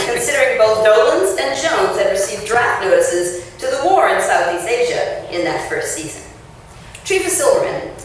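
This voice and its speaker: Woman, lively varied pitch, author's public reading, expressive phrasing, very roomy sound, distant mic. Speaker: author reading her essay at a book launch. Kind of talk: public reading of a written essay. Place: indoor launch-party event space. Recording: roomy echoing event space, distant mic, faint noise.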